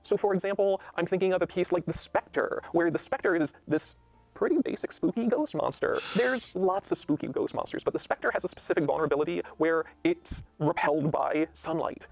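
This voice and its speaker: A man's voice: on the high side, fairly quick, gravelly, dry recording, faint music behind. A man speaking as a game designer, explaining ideas expansively with lists of examples in a panel-style discussion.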